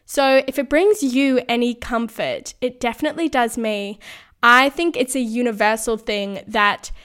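Slightly croaky young woman podcasting. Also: on the high side, normal speed, a bit bright. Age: 20s. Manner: earnest, frequent light laughs. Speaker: podcast host. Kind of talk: casual solo podcast monologue.

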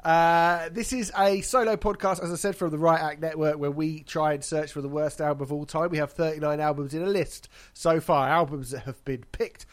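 A man's voice: fairly high pitch, fairly even intonation, brisk, few pauses. A man hosting a casual podcast, congested from hay fever, wry and chatty. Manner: wry, chatty, laughs at own jokes. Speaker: podcast host.

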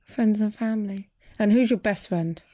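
A woman's voice: medium pitch, moderate pace, mellow, no room sound, clean studio sound. A woman hosting a podcast as a mother, casually interviewing a child in an encouraging, playful way.

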